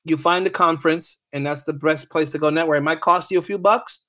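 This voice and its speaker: A man's voice: fairly high pitch, fairly even intonation, fairly quick, pushed and bright. A man chatting casually as a podcast guest, informal and often repeating his phrases as he goes. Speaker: podcast guest, author and audio dramatist. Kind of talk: casual chat, anecdotes.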